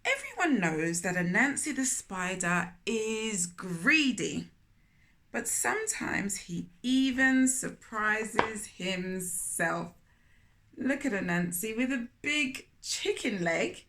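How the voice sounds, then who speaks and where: A woman's voice: medium pitch, very animated, slow pace, pushed and bright. A woman hosting a children's read-aloud, telling the story expressively with chatty asides and questions to listeners.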